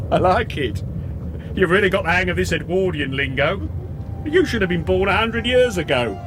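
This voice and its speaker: A teasing, middle-aged English dad in radio drama. His voice is high for a man and swings freely, with clear noise behind it. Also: sing-song, normal speed. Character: jovial, tipsy divorced working-class father, teasing and proud. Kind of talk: naturalistic radio drama acting.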